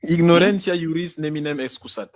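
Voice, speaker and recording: A man's voice: fairly high pitch, fairly quick. A man in a live online debate who mocks his opponent and keeps pressing him with questions. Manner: teasing, mocking, insistent questioning.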